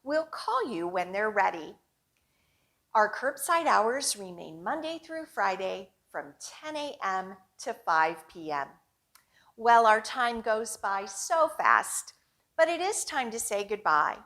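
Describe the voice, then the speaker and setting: A woman hosting a children's storytime as a librarian, with an expressive, sing-song and deliberate delivery for young kids. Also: mid-range voice. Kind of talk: children's storytime, picture book read-aloud and action rhymes.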